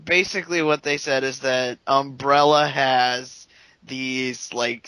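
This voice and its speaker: A man's voice: medium pitch, bright, forceful tone, dry recording, poor audio. A man co-hosting a casual gaming podcast, rambling and filling his sentences with "like". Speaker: podcast co-host. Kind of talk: casual chat.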